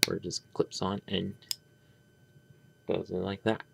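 A man giving an unscripted hands-on review, talking in a halting, rambling way and often trailing off. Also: deep voice, completely flat delivery, creaky, heavy background noise. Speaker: toy reviewer. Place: indoors at a tabletop.

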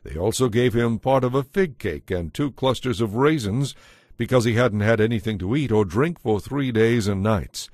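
A man narrating a dramatized Bible as scripted storytelling, in a solemn, measured manner. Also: medium pitch, a little mellow, no echo, clean studio sound.

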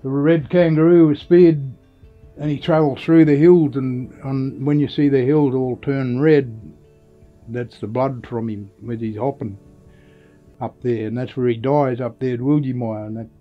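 An older man with an Australian accent telling stories informally on location in a plain-spoken, halting, conversational way. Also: medium pitch, fairly even intonation, lo-fi sound. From Western Australia. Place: outdoors in open dry country. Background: guitar music.

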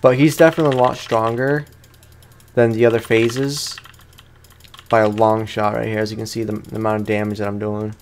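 A young man giving casual, slangy let's play commentary with animated, playfully exasperated reactions, as a gaming YouTuber. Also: on the low side, fairly quick, slight background noise. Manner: slangy, informal.

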